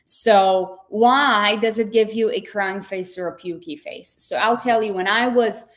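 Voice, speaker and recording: A woman's voice: mid-range voice, a little slow. A woman from Israel coaching on nutrition in a casual livestream, chatty, with lots of fillers and restarts.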